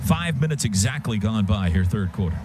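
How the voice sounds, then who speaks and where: A man's voice: fairly low pitch, expressive, brisk, loud music behind the voice. An American man doing live radio play-by-play of a football game in short, clipped, descriptive phrases. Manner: clipped, descriptive call of the action. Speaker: play-by-play announcer. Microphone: broadcast booth microphone. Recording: loud stadium sound behind radio broadcast.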